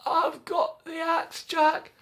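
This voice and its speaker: A man doing a flustered high-voiced mum. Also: monotone, slow, gravelly, a bit bright, exaggerated voice. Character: flustered mother, middle-aged woman.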